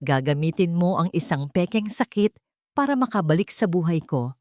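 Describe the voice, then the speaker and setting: A woman's voice: on the low side, lively intonation, moderate pace, darkish tone, no echo, studio quality, lightly acted. A woman voicing a cold, proud rich man who speaks in a clipped, dismissive way.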